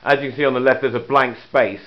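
A man's voice: medium pitch, steady tone, quick pace. A man giving a rambling, joking walkthrough of his own mural in an indoor studio room, talking away from the camera. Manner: rambling, joking, trails off into asides. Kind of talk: casual narrated walkthrough. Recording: dull lo-fi camcorder audio.

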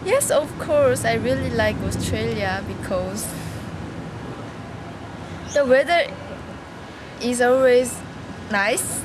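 A woman's voice: on the high side, lively intonation, slow pace, long pauses, background noise. A young woman from South Korea with a Korean accent, answering interview questions in simple English and giggling often, recorded outdoors on a handheld camera. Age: young adult. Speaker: language school student. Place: outdoors by a building. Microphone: handheld camera up close.

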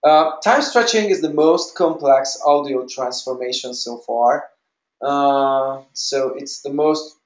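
A man giving a technical explanation in a webinar as a developer, with halting, hesitant phrasing. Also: a little high. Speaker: software developer presenter. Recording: dull, roomy webinar audio.

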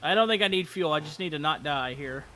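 Bright, high-pitched, animated male gamer. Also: fast. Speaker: gamer in a multiplayer roleplay group. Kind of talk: casual gameplay chat.

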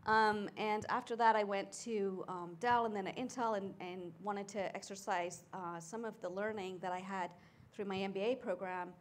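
A middle-aged woman speaking at a conference panel as a tech executive, talking in a relaxed way with many filler ums. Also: mid-range voice, normal speed. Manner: conversational, frequent filler ums. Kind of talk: panel discussion. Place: conference stage seated in armchair.

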